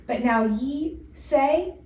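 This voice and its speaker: Slow-reading woman in an echoey room. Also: sits high, expressive, warm tone. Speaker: scripture reader. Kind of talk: solemn read-aloud of King James Bible scripture. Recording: echoey room, distant microphone.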